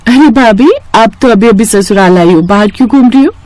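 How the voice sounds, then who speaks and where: A woman plays a friendly young village woman in a storybook tale. Her voice is high and earnest, outspoken and eager to help, recorded dry. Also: a little fast, a little mellow, dry recording, close to an everyday voice, low-quality recording. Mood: friendly, concerned.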